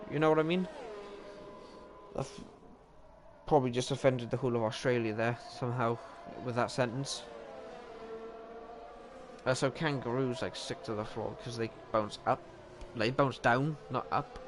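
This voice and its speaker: A young Welsh man giving live, chatty commentary on an online racing league, often joking at his own expense and correcting himself. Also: medium pitch. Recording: steady background noise.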